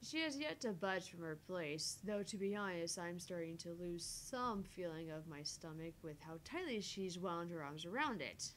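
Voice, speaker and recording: A woman voicing a sharp-tongued, sardonic heroine in a dry, taunting and theatrical read-aloud of a visual novel. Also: lowish voice, lively intonation, normal speed, few pauses, a little hiss or hum, close to an everyday voice, low-quality recording. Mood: dry amusement. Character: sharp-tongued sardonic woman narrating her thoughts, teasing and haughty.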